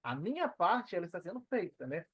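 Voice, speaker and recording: A man's voice: high voice, big pitch swings, fairly quick, slightly rough, no room sound. A man with a Brazilian Portuguese accent speaking as a podcast guest in a casual, motivational way, repeating phrases for emphasis.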